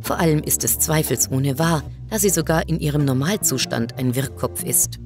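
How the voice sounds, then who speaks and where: Female story reader, low register, scripted first-person narration, clear music bed underneath. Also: moderate pace. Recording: music behind the voice.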